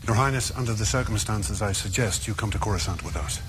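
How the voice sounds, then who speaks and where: Man playing a quick-spoken courteous warrior envoy. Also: fairly low pitch, noisy background, natural voice. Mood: urgent, courteous. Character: calm, courteous Jedi ambassador.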